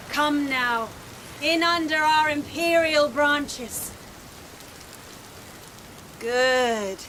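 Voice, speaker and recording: An actress playing a regal, commanding empress in a radio drama, speaking with stately deliberation. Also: female voice, high voice. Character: imperious, serene young empress in a dream. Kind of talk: radio drama acting, stately royal speech. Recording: clear rain and storm noise behind. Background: rain falling.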